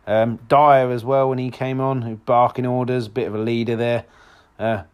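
A man with a hoarse voice, hosting a solo football podcast in a rambling, casual way with lots of restarts. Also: medium pitch, little pitch movement, normal speed. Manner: rambling, frequent restarts and fillers.

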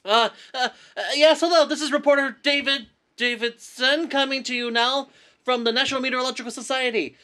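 A woman's voice: sits high, steady tone, brisk, a bit bright. A woman playing a flustered radio reporter in a comic radio play, stammering and repeating as they fill time on air. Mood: flustered, formal.

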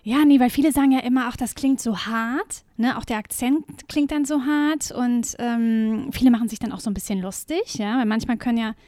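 A chatty German woman co-hosting a podcast, with a voice a little higher than most and lots of easy fillers. She talks in a relaxed, friendly back-and-forth. The sound is dry and close, recorded at home with the windows shut. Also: brisk, hardly any pauses, dry recording. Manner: chatty, laughs easily, many fillers. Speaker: podcast co-host. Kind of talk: casual chat.

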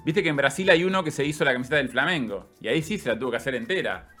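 A man with an Argentine accent hosting a casual sports chat, teasing and animated as he tells stories. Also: medium pitch, racing, faint music behind. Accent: Argentine Spanish.

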